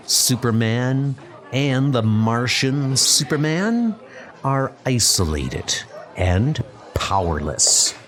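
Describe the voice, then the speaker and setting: A man puts on a scheming male supervillain for a comic book dub. He gloats in a slow, drawn-out way, his pitch swinging smugly, over a music bed. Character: scheming male villain mastermind, smug and gloating.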